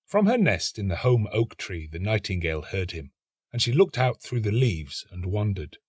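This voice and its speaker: A man giving a scripted, measured and expressive storybook narration. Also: fairly low pitch, breathy edge, no room sound, studio quality. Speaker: actor reading a short story.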